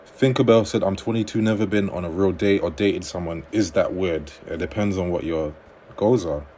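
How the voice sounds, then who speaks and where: Low-voiced man reading live comments. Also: normal speed, dark tone, a little hiss or hum. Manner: reads viewer comments aloud and replies conversationally. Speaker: livestream host giving dating advice. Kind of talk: casual livestream chat reading comments.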